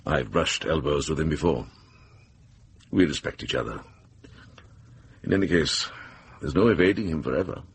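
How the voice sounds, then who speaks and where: A man playing a wise, wry older medieval monk in a radio drama, composed and unhurried in manner. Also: rumbling low, lively intonation, a little hiss or hum.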